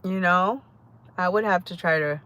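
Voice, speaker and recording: A woman's voice: on the low side, normal speed, bright, forceful tone. A woman from Jamaica with a Jamaican accent, chatting casually with viewers on a live stream, greeting them by name and laughing often. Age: adult. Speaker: livestream host.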